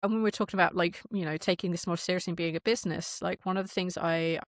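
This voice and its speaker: A woman's voice: on the low side, fairly even intonation, fast, no room sound, clean studio sound. A woman chatting casually as a podcast guest, illustrating her points with acted-out quotes and thoughts. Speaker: podcast guest, audio drama creator. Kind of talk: casual interview chat.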